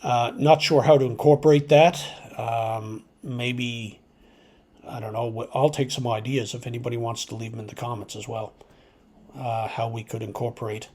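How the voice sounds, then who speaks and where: A man hosting a hobby radio video, explaining informally and unscripted in a hesitant, halting way, as if thinking aloud. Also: medium pitch, fairly even intonation, darkish tone, slightly rough. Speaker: amateur radio video host.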